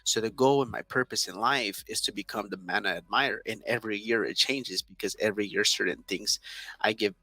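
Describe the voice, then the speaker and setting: Man, thirties, native Spanish speaker, earnest interview guest, lowish pitch, dry sound. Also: a little fast, sharp tone, no echo, poor audio. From Southern California. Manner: earnest, many restarts and fillers. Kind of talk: casual interview talk.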